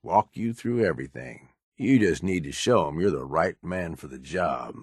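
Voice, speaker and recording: A gruff raider second-in-command from a video game, speaking in a very low, rasping voice. He is streetwise and pragmatic, coaxing and blunt with rough colloquial phrasing. The sound is close and completely dry. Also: expressive, normal speed, dry recording, exaggerated voice. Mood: explanatory, wry. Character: gruff, streetwise male raider right-hand man, pragmatic schemer. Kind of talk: video game character dialogue.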